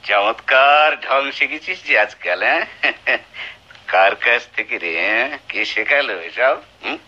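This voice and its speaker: A contemptuous, bullying husband in a radio play. His voice is very forceful and sharp, swinging widely between drawled sneers and snapped commands. The sound is slightly muffled and lo-fi. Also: mid-range voice, expressive, measured pace, full character voice. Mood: sneering. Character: cruel, contemptuous husband with a violent temper. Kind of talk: Bengali radio drama acting. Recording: dull lo-fi radio drama recording.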